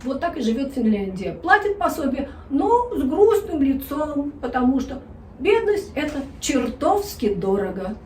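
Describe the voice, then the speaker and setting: A woman delivers news commentary with a wry, ironic edge, her voice sitting a touch high. She sounds somewhat distant from the mic in a roomy, echoing space with faint noise behind. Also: very animated, normal speed, darkish tone. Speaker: news presenter. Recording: echoey room, a bit distant.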